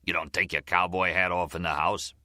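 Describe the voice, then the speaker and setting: A male audiobook reader plays a gruff crime boss in a novel. The voice is deep and blunt, commanding and easily irked, in a dry studio sound. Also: expressive, brisk, bright, forceful tone, dry recording, exaggerated voice. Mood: irritated.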